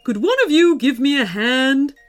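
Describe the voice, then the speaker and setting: A woman voicing a kindly old male wizard in a children's story, with theatrical, drawn-out delivery. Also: high voice, animated, soft background music. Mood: grave, instructive. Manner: theatrical, exclamatory, drawn-out words.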